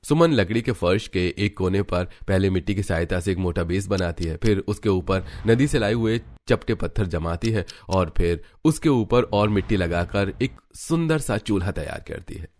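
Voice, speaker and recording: A man reading scripted Hindi narration for an animated family story as its narrator. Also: deep voice, normal speed, few pauses. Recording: music behind the narration.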